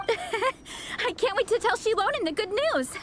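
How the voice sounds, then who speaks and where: A woman's voice: extremely high pitch, very animated, measured pace, full character voice. A woman voicing an eager, earnest young girl in a video game, speaking with enthusiasm over music. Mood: excited, proud. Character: eager, earnest young girl, devoted storybook fan and club founder. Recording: music behind the voice.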